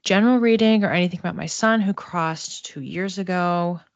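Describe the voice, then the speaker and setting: Casting: woman, low register, psychic medium doing an off-the-cuff reading, hesitant delivery, slightly dull roomy recording. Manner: tentative, halting, searching for words. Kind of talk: intuitive reading, spoken off the cuff to a live chat.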